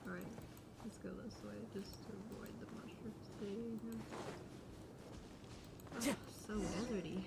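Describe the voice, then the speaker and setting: A young woman reacts casually to a game as she streams. Her voice is mid-pitched and her talk is slangy and playful. Loud game audio runs behind her. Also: fairly even intonation, unhurried, creaky, long pauses, heavy background noise. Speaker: gaming streamer. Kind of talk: casual gameplay reaction commentary. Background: game sound.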